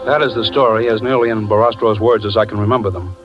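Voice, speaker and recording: Guy doing a rattled storyteller in an old radio show, lowish voice, muffled vintage sound. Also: fairly even intonation, music in the background, close to an everyday voice. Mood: grave. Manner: measured, grave storytelling. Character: shaken male narrator recalling a strange tale. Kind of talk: 1940s radio drama narration. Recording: dull old radio broadcast.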